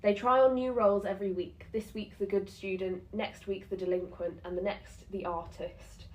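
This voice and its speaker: A twenty-year-old woman co-hosting a chat podcast, talking quickly and bubbling with giggles. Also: medium pitch, steady tone, darkish tone, rough recording. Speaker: podcast co-host. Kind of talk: casual chat.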